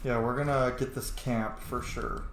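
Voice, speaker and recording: A man giving casual, chatty let's play commentary as a gaming host, swearing freely and getting excitable. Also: mid-range voice, a little slow, roomy sound, slight background noise.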